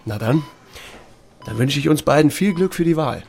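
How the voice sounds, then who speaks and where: A man's voice: on the high side, expressive, normal speed, creaky, a little mellow, faint music behind. An actor playing an unflappable small-town sheriff who tells his own story in an audio drama, dry, wry and understated. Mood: calm, firm. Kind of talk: first-person narration and dialogue in audio drama.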